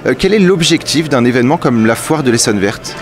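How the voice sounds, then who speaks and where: Brisk male radio reporter. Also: medium pitch, lively intonation, faint music behind. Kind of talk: on-location radio interview. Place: outdoors at a fair.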